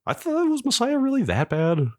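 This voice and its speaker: A man's voice: extremely high pitch, wildly swooping pitch, moderate pace, no room sound, clean studio sound. A middle-aged American man co-hosting a podcast in casual, conversational talk. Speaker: podcast co-host. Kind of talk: casual chat.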